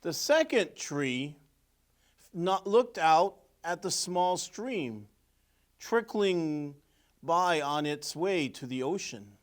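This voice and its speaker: A man reads a children's tale in a fairly high voice that rises and falls expressively. His pace is slow and deliberate, with emphatic phrasing and clear pauses between short phrases. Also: animated. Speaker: clergyman reading a children's story. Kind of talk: expressive storybook read-aloud with asides on pictures.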